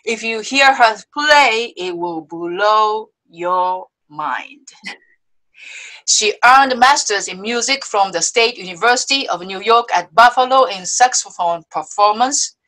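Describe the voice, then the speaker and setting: A woman from Osaka with a Japanese accent, hosting a video-call interview show in a bubbly, excitable way, laughing often. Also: medium pitch, measured pace, rough recording. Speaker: host. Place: indoors before a movie-themed backdrop. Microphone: webcam video call.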